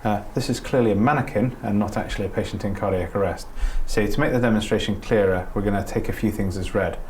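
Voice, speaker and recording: Low-voiced man teaching a practical skill. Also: fast, darkish tone, a little hiss or hum. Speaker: doctor giving a training demonstration. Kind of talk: spoken practical instruction.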